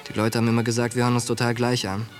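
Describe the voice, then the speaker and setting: An actor playing a tough, streetwise young soldier, blunt and slangy, in a German radio drama. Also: male voice, mid-range voice, little pitch movement, racing, close to an everyday voice. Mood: frank, casual. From United States, Philadelphia. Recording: studio drama with music bed.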